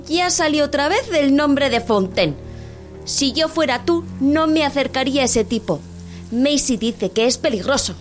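Casting: woman as worn-out, reproachful working wife, high voice, sarcastic bite, radio drama with music underneath. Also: animated, measured pace. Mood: indignant, bitter. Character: weary, sharp-tongued working-class wife, scolding and worried. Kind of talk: dramatic audio drama acting in Spanish.

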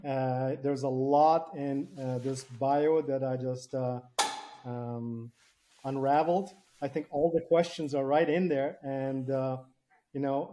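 A man hosting a podcast interview in a conversational way, with halting, rambling questions. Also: mid-range voice, warm tone. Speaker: podcast host.

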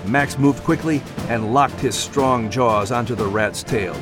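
A man's voice: mid-range voice, measured pace. Male storyteller over faint music. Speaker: audiobook narrator. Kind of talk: scripted story narration.

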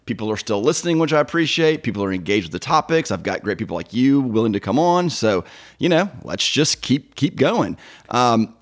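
A man's voice: mid-range voice, big pitch swings, brisk. A man with an American accent hosting a podcast, interviewing his guest in a casual, chatty way. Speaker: podcast host.

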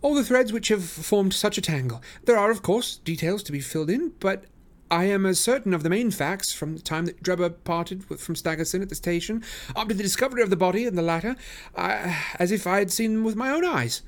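A man reading aloud and voicing a brilliant, eager male detective in a raised, excited tone. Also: fairly quick. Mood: triumphant. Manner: excited, exclamatory, raised high voice.

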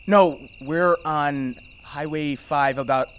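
A man's voice: fairly high pitch, fairly even intonation, takes it slowly, noisy background. An actor playing an anxious, earnest man offering help in an audio drama. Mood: flustered. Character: anxious, earnest male helper, nervous and caring. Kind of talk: audio fiction acting.

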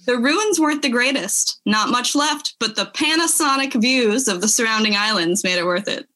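A woman's voice: a little high, expressive, moderate pace, sharp tone. A woman in a casual podcast chat, reading out short passages in an amused, giggly way. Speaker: podcast guest. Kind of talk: casual chat with dramatic readings of online reviews.

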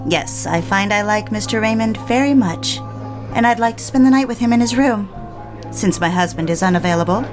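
A woman's voice: mid-range voice, fairly quick, lightly acted. A woman playing a lovestruck, compliant married mistress in an audio drama, speaking and narrating in an intimate, confessional way. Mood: coy, bold. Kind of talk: dramatic first-person narration and dialogue. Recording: music behind the voice.

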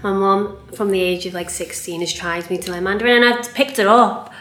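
A woman's voice: medium pitch, a little fast, fairly bright tone. A woman whose first language is Cantonese, chatting casually in an interview and peppering her talk with "like" and "do you know". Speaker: restaurant staff guest. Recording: echoey room.

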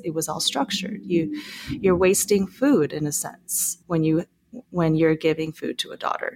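A woman from Taiwan with a Taiwanese accent, a filmmaker guest on a podcast, explaining thoughtfully and sometimes restarting mid-phrase. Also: on the low side. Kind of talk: podcast discussion.

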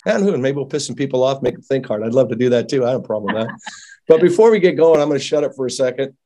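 A man's voice: mid-range voice, expressive, very fast, dark tone. A man hosting a casual interview podcast, enthusiastic and jokey, rambling as he welcomes his guest. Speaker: podcast host.

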